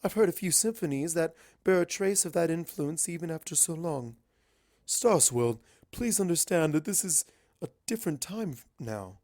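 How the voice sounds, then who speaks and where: Man putting on a high-pitched regal pony princess. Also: lively intonation, moderate pace, a little mellow, no room sound, studio quality. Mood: defensive, earnest. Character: princess mare, coy, regal, defensive female pony. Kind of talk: fan fiction character voice.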